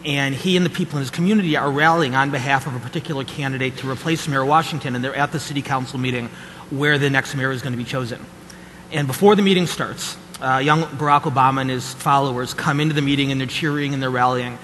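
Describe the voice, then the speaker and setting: A man's voice: mid-range voice, quick pace, fairly bright tone. A man on a policy panel telling a story and urging students toward public service. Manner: storytelling, earnest advice to students. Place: large conference hall. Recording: echoey hall with faint noise.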